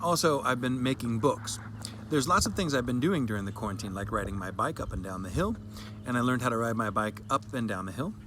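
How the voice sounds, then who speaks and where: A man performs a young girl who is thoroughly fed up with quarantine. The delivery is childlike, earnest and emphatic, repeating itself in a dramatic reading of a sad little story. There is clear noise behind the voice. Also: mid-range voice, expressive, a little fast, a bit bright, close to an everyday voice. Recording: clearly noisy background.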